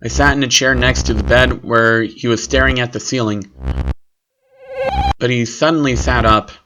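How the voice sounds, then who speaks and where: Man narrating a story over clear noise. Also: medium pitch, fairly even intonation, moderate pace. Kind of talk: scripted horror story narration in first person. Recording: clearly noisy recording with clicks.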